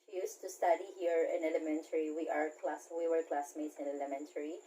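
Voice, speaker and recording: A woman's voice: low voice, little pitch movement, a little fast, dark tone, rough recording. A woman of thirty from the Philippines with a Filipino accent, chatting expressively as she hosts a casual video interview. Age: 30s. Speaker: talk show host, interviewer.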